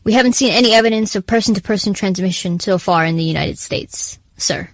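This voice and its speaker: A woman's voice: mid-range voice, dry recording, close to an everyday voice. Woman acting a serious presidential aide, dry sound. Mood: urgent, grave. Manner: formal, deferential, measured. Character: serious, formal female presidential aide giving briefings. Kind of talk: audio drama acting, official briefing.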